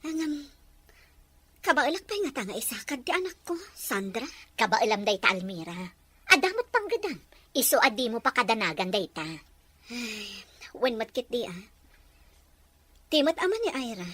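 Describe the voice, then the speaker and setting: Woman acting emotional young mother, Ilocano accent, radio drama, high bright voice, expressive. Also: no echo.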